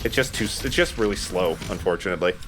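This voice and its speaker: Lively low-voiced man doing game commentary. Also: fast, background noise. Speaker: let's play gamer and commentator. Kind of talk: casual video game commentary.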